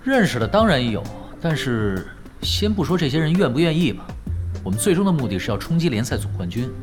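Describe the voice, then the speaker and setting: Chill teasing young gamer dude, radio drama, really lively pitch swings, music underneath. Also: male voice. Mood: matter-of-fact. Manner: unhurried, nonchalant, teasing. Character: laid-back, wry young male pro gamer. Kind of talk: Chinese radio drama acting.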